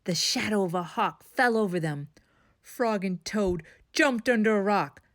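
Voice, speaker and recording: A woman reading a children's story aloud as a storytime host, with expressive, dramatic emphasis. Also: medium pitch, a little slow. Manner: dramatic emphasis, asks listeners questions.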